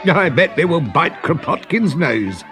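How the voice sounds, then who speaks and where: An excitable onlooker from a storybook crowd acted by a man calls out in a high, jeering, merry voice. The exclamations are stretched out for effect. Music sounds behind the voice. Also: measured pace. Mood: mocking, merry.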